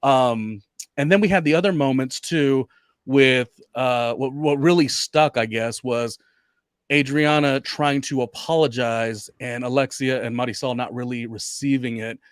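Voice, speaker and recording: A man hosts a casual reality TV recap podcast, animated and full of ums and restarts as he hedges his questions. His voice sits at a mid pitch and sounds close and dry, with no room around it. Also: measured pace, clean tone, no echo. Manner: hedging, animated, lots of fillers. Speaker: podcast host.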